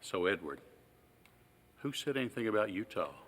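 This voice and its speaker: A man playing a patient, shrewd small-town sheriff in a film, halting and folksy in a deadpan, polite way. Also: lowish voice, slight background noise. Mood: dry, methodical. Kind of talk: naturalistic film acting.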